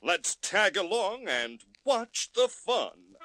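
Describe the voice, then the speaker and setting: A playful cartoon-record narrator, very high for a man. He draws out his scripted lines in an animated way, with music behind him on old vinyl. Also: slow pace, full character voice. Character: playful storybook-record narrator, formal party announcer. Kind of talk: scripted narration of children's radio drama.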